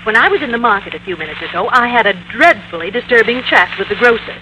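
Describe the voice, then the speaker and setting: A woman's voice: fairly high pitch, expressive, quick pace, lightly acted. An actress playing a grave, proper middle-aged wife and mother in an old-time radio comedy, formal and emphatic. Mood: grave, urgent. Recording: dull, noisy vintage radio recording.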